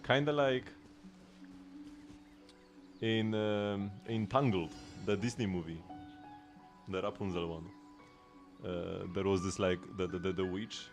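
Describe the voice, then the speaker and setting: A man's voice: deep voice, fairly even intonation, takes it slowly, long pauses, faint music behind. A man in his late twenties chatting while he streams a game, rambling with lots of restarts and laughs. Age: late 20s. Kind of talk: casual gaming livestream chat.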